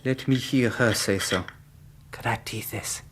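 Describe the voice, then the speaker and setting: A slow, weakened, defiant captive. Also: male voice, fairly low pitch, breathy edge. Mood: weary defiance. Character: defiant, starving captive Greek man, weak but stubborn. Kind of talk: radio drama acting.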